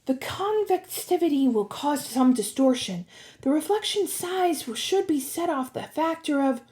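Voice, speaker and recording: A woman's voice: high-pitched, animated, unhurried, mellow, pitched above the performer's own voice. A woman voicing a brainy, bossy young girl heroine in an audiobook, urgent and insistent. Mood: critical, instructing. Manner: insistent, matter-of-fact. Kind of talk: audiobook character voice.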